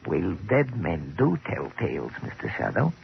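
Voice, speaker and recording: An actor playing a nervous, cryptic old man in a 1940s radio drama, with a creaky, husky, halting voice. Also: sits low, huge pitch swings, dull audio, full character voice. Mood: pleading, cryptic. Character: nervous, cryptic old man, working-class club employee.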